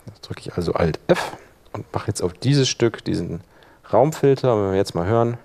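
A man giving an informal live software tutorial as workshop instructor, thinking aloud while he demonstrates. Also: lowish voice, animated, moderate pace, gravelly, slight background noise.

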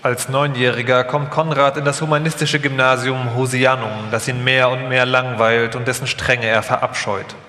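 A man's voice: medium pitch, little pitch movement, normal speed, hardly any pauses, pushed and bright. Male documentary narrator in an echoey hall. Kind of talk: scripted documentary narration, performed live. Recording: echoey hall, long reverb.

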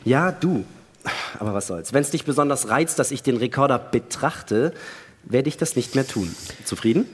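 A man's voice: mid-range voice, lively intonation, a little hiss or hum, natural voice. An actor playing a sardonic, suspicious male astronaut who needles and provokes in a sci-fi radio play. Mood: needling. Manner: sardonic, provoking, measured stage delivery. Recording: long hall reverb.

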